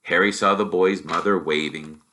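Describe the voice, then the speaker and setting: A man narrating a storybook in an expressive, animated way, as someone who performs the characters. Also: low voice, moderate pace, a little hiss or hum. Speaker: reader, teacher.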